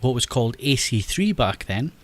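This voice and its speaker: A man's voice: mid-range voice, sing-song, normal speed. A Scottish man hosting a podcast, chatty, laughing often and stumbling over his restarts. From Scotland. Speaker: podcast host. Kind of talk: casual podcast chat.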